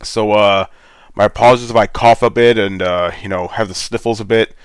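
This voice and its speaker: A man's voice: on the low side, steady tone, brisk, clean tone, dry recording, studio quality. A congested man with a sore throat, rambling in a laid-back way as he hosts gameplay commentary over a headset mic. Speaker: gaming channel host.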